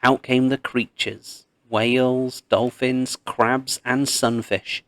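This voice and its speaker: Measured male storybook narrator, close dry sound. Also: mid-range voice, no echo. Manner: measured, expressive storytelling with pauses. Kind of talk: scripted read-aloud storytelling of a myth.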